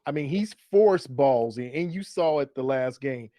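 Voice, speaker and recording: A man's voice: mid-range voice, lively intonation, moderate pace, warm tone, dry recording, studio quality. A man co-hosting a sports show in casual chat, explaining his analysis and repeating phrases for emphasis. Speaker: sports podcast co-host. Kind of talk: casual fantasy football chat.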